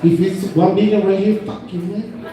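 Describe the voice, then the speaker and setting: A man's voice: high voice, steady tone, moderate pace, warm tone. A Mexican-born man, a native Spanish speaker, doing a stand-up comedy set in broken English mixed with Spanish slang, self-mocking. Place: comedy club stage. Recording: echoey room from far off, crowd behind.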